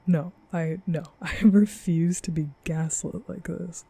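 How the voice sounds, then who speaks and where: A man playing a nervous, gentle young vampire in an intimate ASMR roleplay, speaking hesitantly in a breathy half-whisper. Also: on the high side, steady tone, full character voice. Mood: teasing. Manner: hesitant, stammering, slow.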